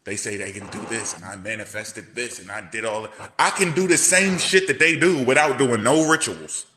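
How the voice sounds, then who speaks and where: A man's voice: mid-range voice, normal speed, pushed and bright. A man in a casual debate chat, talking bluntly with plenty of swearing and often cutting in over the other speaker. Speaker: show host.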